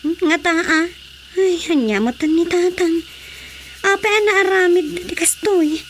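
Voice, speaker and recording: Radio drama daughter: high voice, Ilocano accent, concerned peacemaker, ambient noise behind. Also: female voice, measured pace. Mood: worried. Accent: Ilocano Filipino. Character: concerned young daughter, earnest peacemaker between her parents.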